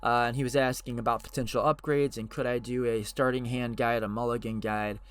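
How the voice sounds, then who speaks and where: A man hosting his own channel, hoarse and losing his voice, talking casually and unscripted with frequent fillers and restarts. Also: mid-range voice, flat delivery, brisk. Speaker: channel host.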